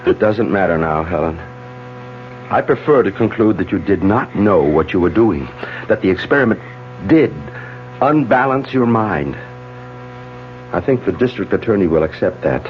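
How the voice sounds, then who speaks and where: An actor playing an anguished, earnest man in a 1970s radio drama, speaking urgently and haltingly with heavy emphasis. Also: on the low side, lively intonation, lightly acted. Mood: grave, persuading. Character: anguished, earnest man protecting a troubled woman. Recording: dull 1970s AM radio broadcast, faint music.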